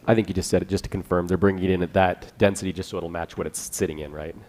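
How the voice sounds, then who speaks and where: A man on a city council who asks a short question and then reads out a formal motion briskly. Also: sits low, steady tone, a little mellow. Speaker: council member making a motion.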